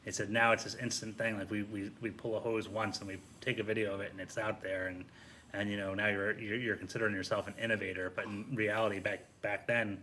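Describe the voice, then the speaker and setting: Lowish voiced guy, sharp tone, casual halting storytelling, bit of noise behind him. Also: little pitch movement. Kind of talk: casual chat.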